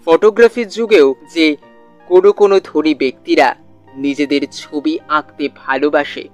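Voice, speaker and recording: A man narrating a literary suspense story in a measured way, with deliberate pauses. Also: a little high, fairly even intonation. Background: soft music.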